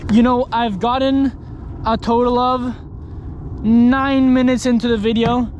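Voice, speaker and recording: A young man with a high, bright-pitched voice talks casually while fishing from a kayak, often bursting into excited exclamations. He is recorded outdoors by a camera mounted on the boat, with a faint hiss of wind and water behind him. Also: a little slow, highs cut off. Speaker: kayak angler. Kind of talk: casual fishing vlog commentary. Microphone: action camera mounted on the kayak.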